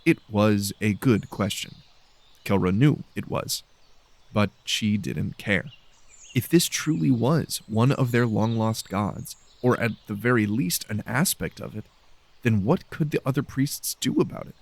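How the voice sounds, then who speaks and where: A man's voice: on the low side, measured pace, gravelly. A man reading a scripted science fiction story as an audiobook narrator.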